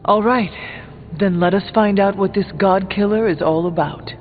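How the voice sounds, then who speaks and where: An actor playing an earnest warrior hero in a superhero video game, with formal, deliberate speech. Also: male voice, sits high, close to an everyday voice. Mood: resolute. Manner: formal, deliberate, avoids contractions. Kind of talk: video game dialogue acting. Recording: thin narrow band, reverberant, music behind.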